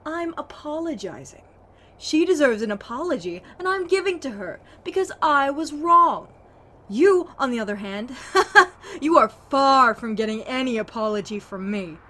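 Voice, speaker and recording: A woman's voice: high-pitched, very animated, moderate pace, sharp tone, full character voice. A woman acting a bitter, sarcastic, combative sister who survives in a zombie apocalypse audio drama. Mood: sarcastic, accusing. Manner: sarcastic, confrontational, swearing.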